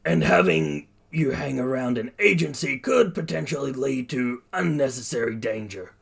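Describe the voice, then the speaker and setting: A man's voice: medium pitch, expressive, normal speed, creaky, husky, full character voice. A man voicing a hearty, earnest older superhero mentor in a narrated story, speaking emphatically. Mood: concerned.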